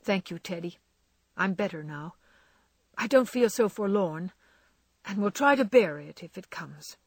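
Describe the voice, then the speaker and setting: A woman's voice: mid-range voice, expressive, unhurried, darkish tone, slightly rough, no room sound, clean studio sound. A woman voicing a spirited young woman in grief, whose speech rises into emotional cries. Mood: grateful.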